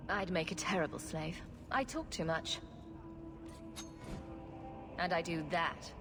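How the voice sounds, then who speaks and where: Sardonic young adventurer, low pitch, expressive, flippant, video game dialogue, music behind. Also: female voice, takes it slowly. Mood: wry.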